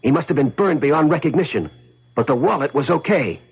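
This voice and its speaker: An actor playing a scheming, fast-talking, hard-boiled crook in a 1970s radio drama, slangy and insistent. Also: male voice, a little high, animated, gravelly, husky, full character voice. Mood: urgent, secretive. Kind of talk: 1970s radio drama acting, with first-person narration. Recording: muffled 1970s radio broadcast, music underneath.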